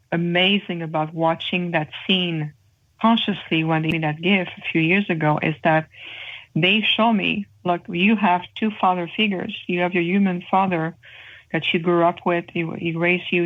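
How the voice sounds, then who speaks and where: A French Canadian woman talks earnestly as a guest, pausing often and filling gaps as she explains. Her voice sits a little low. It comes through a thin, tinny phone connection. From Canada (Montreal). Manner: earnest, measured, with frequent pauses and fillers. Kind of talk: interview guest describing spiritual experiences. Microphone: phone call.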